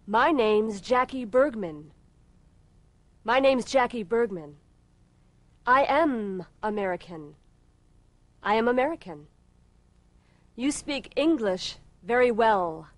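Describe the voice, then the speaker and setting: Slow, careful woman drilling phrases. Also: fairly high pitch, animated, dry recording. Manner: repeats each phrase carefully, clearly articulated. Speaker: English teacher.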